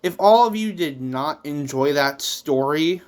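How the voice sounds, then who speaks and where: Casual vlog host, medium pitch, slow pace, rambling and a little theatrical, addressing viewers directly. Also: animated. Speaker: YouTube host and manga creator.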